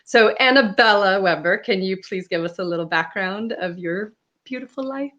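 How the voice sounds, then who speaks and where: An enthusiastic woman hosts a panel over a video call, speaking at a middle pitch. She is upbeat and directive, praising guests and keeping things moving. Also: normal speed, sharp tone, lo-fi sound. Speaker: moderator. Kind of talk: hosting a panel.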